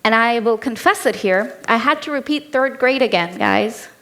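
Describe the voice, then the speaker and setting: A woman's voice: on the high side, lively intonation, moderate pace, a bit bright. A woman in her thirties with an Indian accent, giving a keynote talk as a personal story told in a candid, lightly humorous way. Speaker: keynote speaker.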